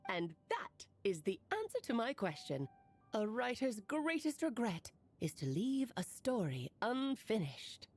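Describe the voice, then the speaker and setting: A playful grown-up witch in a high, swooping voice. She is breezy and eccentric, telling things with theatrical flourish in anime game style. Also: very animated, a little slow, darkish tone, faint music behind. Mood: solemn, dramatic. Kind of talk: anime video game voice acting.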